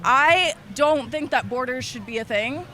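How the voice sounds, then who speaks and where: Defiant young interviewee, high bright voice. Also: lively intonation, measured pace. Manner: defiant, argumentative, swears. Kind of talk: heated street interview argument.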